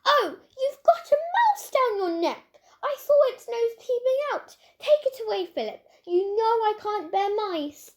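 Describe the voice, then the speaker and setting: A woman voicing a confident, hot-tempered young girl with an excitable manner in a storybook. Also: very high voice, measured pace, pitched above the performer's own voice, full character voice. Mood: shrieking disgust. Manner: excitable, exclaiming.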